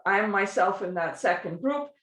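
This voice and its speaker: Lecturer, woman, native Russian speaker, mid pitch, explanatory, lots of "uh" and restarts. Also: expressive, moderate pace, a bit bright, echoey room. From Soviet Union. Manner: explanatory, many fillers and restarts. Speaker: guest lecturer. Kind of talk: academic presentation.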